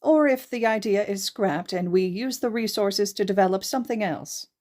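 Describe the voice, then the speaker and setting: A woman's voice: medium pitch, normal speed, a little mellow, pitched above the performer's own voice, natural voice. A woman voicing a courteous school principal in a story, making a formal, projected announcement to students. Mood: formal, upbeat. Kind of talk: audiobook character dialogue, announcement.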